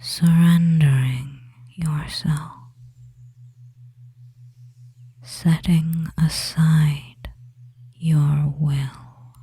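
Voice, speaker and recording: A devious, controlling male witch acted out by a performer murmurs in a hushed, breathy whisper. The delivery is extremely slow and very dark in tone, lulling the listener in a hypnotic ASMR roleplay with little rise or fall. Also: mid-range voice, level tone, full character voice. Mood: coaxing, hypnotic. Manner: slow, soothing, hypnotic, pausing between short phrases.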